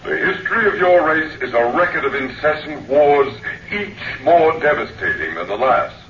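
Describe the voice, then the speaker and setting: An actor playing a dignified, elderly-looking alien lecturer in human guise in a radio drama, formal, deliberate and oratorical. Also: male voice, fairly high pitch, lively intonation, fairly bright tone, lo-fi sound. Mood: grave. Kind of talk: old radio drama speech. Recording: echoey, distant, noisy vintage radio recording.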